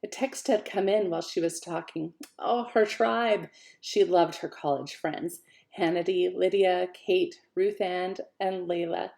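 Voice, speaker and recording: A woman author reading her own fiction aloud in an expressive, lively way. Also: fairly low pitch, measured pace, creaky. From Canada. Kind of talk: audiobook-style fiction reading.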